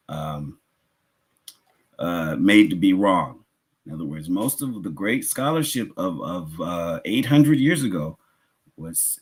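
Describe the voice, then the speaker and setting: A man with a mid-pitched voice delivers a religious lecture. He talks conversationally, asking rhetorical questions and restating his points as he explains them to listeners. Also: a bit bright, husky. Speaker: religious teacher.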